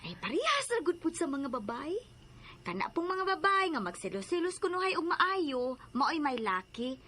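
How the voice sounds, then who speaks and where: A woman's voice: high-pitched, sing-song, normal speed, pushed and bright, a little hiss or hum, heavily acted. A woman playing a blunt, suspicious friend passing on gossip in a radio drama, with a Cebuano Filipino accent. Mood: gossipy, insinuating. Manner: gossipy, insinuating.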